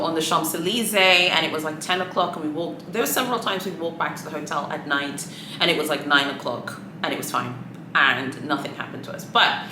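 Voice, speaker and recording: A woman whose first language is Swahili, chatting casually to camera with many fillers and sassy asides. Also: deep voice. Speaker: luxury shopping vlogger. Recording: echoey room with clear background noise.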